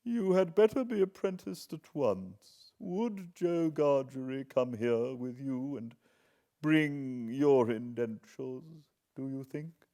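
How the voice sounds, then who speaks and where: A man's voice: mid-range voice, lively intonation, slow, warm tone, voice pushed up. A British man voicing an imperious, impatient old lady in an audiobook, curt and haughty. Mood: imperious, displeased. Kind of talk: acted audiobook character.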